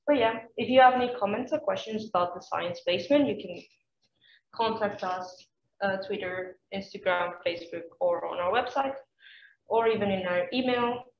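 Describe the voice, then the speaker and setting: A woman's voice: medium pitch, normal speed, a little hiss or hum. A woman hosting a science talk event, introducing it hesitantly with fillers and self-corrections. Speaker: event host. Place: lecture room. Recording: echoey, muffled room recording.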